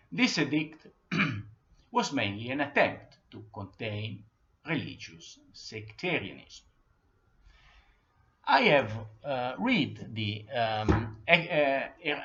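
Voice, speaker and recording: A man with an Italian accent giving a measured history lecture, pausing and clearing his throat now and then. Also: mid-range voice, a bit bright, highs cut off.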